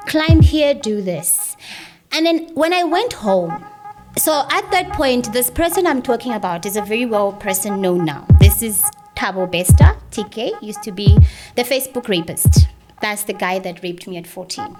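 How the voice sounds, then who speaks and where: A woman's voice: mid-range voice, big pitch swings, moderate pace, music in the background. A woman from Zambia, telling her life story as an interview guest in an earnest, emphatic way. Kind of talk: personal testimony in conversation.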